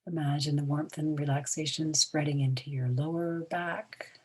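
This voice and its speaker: A woman leading a guided relaxation in a soothing, hushed manner, leaving long pauses between short phrases, with a breathy tone that trails toward a whisper. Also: deep voice, steady tone. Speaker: meditation guide.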